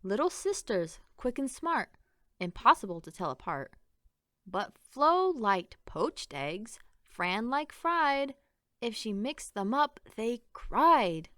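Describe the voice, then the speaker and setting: A woman reading a rhyming children's story aloud in an expressive, sing-song way. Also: on the high side, no room sound, clean studio sound. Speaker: picture book reader.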